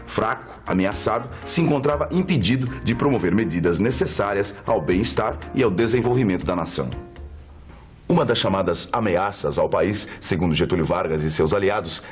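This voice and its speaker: Brazilian male documentary presenter, low voice, explanatory scripted delivery over music. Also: lively intonation, brisk. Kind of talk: scripted educational narration.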